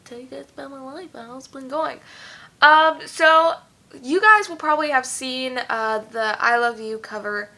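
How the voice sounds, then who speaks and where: Bright-voiced young woman, chatty vlog. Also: high-pitched, normal speed. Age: young adult. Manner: rambling, chatty, many fillers. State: slightly hoarse, losing her voice. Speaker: YouTube singer. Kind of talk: casual vlog chat. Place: at home.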